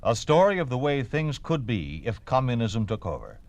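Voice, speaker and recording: A man's voice: mid-range voice, big pitch swings, moderate pace, a little mellow, no room sound. A man announcing an old-time radio drama in a dramatic, scripted style.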